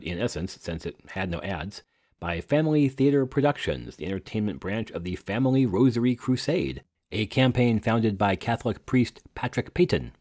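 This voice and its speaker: A man presents a scripted podcast introduction. His voice sits low. The sound is close and dry, without room echo. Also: a little mellow. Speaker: podcast host.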